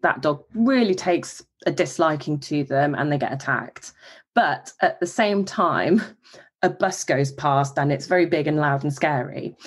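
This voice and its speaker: A woman dog behaviourist calmly explaining behaviour with examples in a podcast interview. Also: sits low, husky. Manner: calm, explanatory, gives examples.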